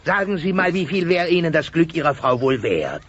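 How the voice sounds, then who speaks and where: An actor playing a greedy, scheming dinosaur boss in a comedy radio play, overbearing and theatrical, on a dull, noisy old cassette. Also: male voice, fairly high pitch, big pitch swings, brisk, a bit bright. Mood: sly bargaining. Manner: overbearing, theatrical, switching from syrupy to bellowing. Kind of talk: German-dubbed comedy radio play acting.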